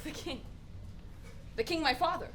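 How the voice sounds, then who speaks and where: A woman's voice: high-pitched, fairly bright tone, slight background noise. A woman playing a grief-stricken, bitter young prince in anguished Shakespearean verse for a radio play. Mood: intent, questioning. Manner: anguished, drawn-out, exclamatory verse delivery.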